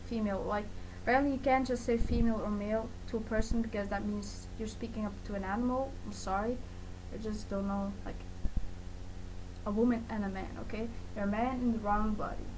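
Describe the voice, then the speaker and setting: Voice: mid-range voice, fairly even intonation, fairly quick. A young adult vlogger talking casually and personally to camera in a rambling, self-correcting, informal way. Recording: noticeable background noise.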